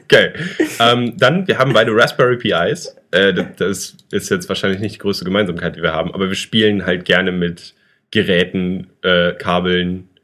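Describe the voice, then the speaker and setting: A man's voice: deep voice, fairly even intonation, normal speed, a bit bright. A young man co-hosting a casual chat, rambling and joking, with frequent fillers and restarts. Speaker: podcast co-host.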